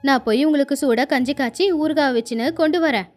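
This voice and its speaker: A woman voicing a greedy, quarrelsome, boastful village wife in a moral story, with expressive, dramatic delivery. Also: high voice, fast, lightly acted. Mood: eager. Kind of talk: acted moral story for children. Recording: faint music underneath.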